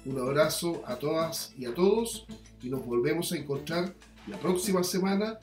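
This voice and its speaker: A man's voice: fairly high pitch, expressive, normal speed. A man with a Chilean accent presenting a community radio programme in a formal style. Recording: roomy sound with guitar music behind.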